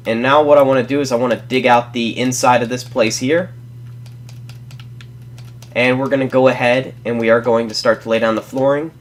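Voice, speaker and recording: A young man with a congested, stuffy voice narrating a casual building tutorial as a game host, rambling and correcting himself as he goes. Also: mid-range voice. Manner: rambling, self-correcting, apologetic. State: congested from a cold. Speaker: Let's Play host.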